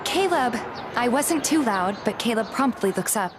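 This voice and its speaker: A woman's voice: on the high side, lively intonation, normal speed, noisy background, natural voice. A woman playing a playful, bashful young heroine, reading scripted first-person romance narration and dialogue. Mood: playful, excited. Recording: loud background music.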